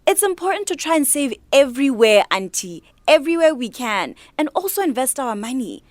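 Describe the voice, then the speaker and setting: 博茨瓦纳口音年轻女子，音调偏高. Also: female voice, sits high, a little fast, no room sound. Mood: earnest, exasperated. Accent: Botswana. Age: young adult. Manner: animated, earnest, persuasive. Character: young, ambitious, organised niece starting a catering business. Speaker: radio drama actress. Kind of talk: radio drama acting, code-switching dialogue.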